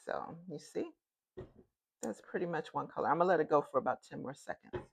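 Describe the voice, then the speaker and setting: A woman with a loud voice, hosting a casual home cooking demonstration and chatting homily to her viewers as she works. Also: low voice, expressive, fairly quick, vocal fry. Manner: chatty and homey, talks straight to viewers. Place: home kitchen. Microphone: phone, later a clip-on mic.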